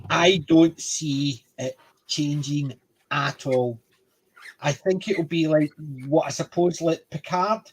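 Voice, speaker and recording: Chatty Scottish man, fairly high voice. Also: slow, slightly rough, low-quality recording. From Scotland. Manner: chatty, reads facts aloud, opinionated. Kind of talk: casual panel chat.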